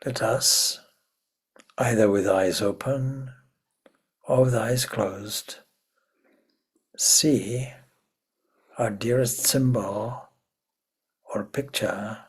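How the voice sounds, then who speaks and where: A man with a very husky, breathy voice leads a guided meditation at a very slow pace. His pitch sits on the low side, and he speaks in a hushed, measured way, leaving long pauses between short phrases. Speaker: meditation teacher, swami.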